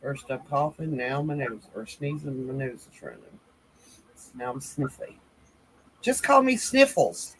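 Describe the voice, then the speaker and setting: A man's voice: medium pitch, very animated, measured pace, fairly bright tone, slight background noise, rough recording. A man in casual chat, joking about his runny nose.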